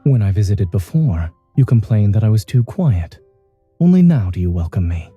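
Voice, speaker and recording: An actor playing a reserved, composed doctor in a video game, calm, measured and precise, gently teasing. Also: male voice, lowish voice, breathy edge, no echo, lo-fi sound. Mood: composed, dry. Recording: music under the voice.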